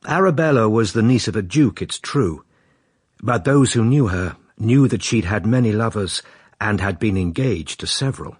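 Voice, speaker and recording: Wry male storyteller, deep voice. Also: lively intonation, slightly rough, no room sound, studio quality. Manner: wry, confiding, measured. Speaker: narrator. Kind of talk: scripted storytelling narration.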